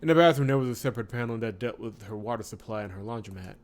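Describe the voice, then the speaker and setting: A man hosting a visual novel playthrough, chatting casually and reading the story aloud in an expressive way with wry asides. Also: on the low side, rapid-fire. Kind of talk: casual let's-play commentary with read-aloud narration.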